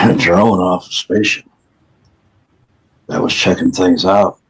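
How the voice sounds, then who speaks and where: A man with a deep, gravelly voice, recalling a memory haltingly while under hypnotic regression. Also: flat delivery, poor audio. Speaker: regression subject.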